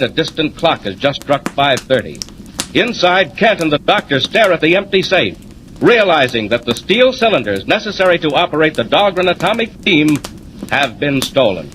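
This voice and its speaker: A man's voice: on the high side, a bit bright. An American man narrating a 1940s radio serial as announcer in a dramatic, declamatory style. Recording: noisy old 1940s radio transcription.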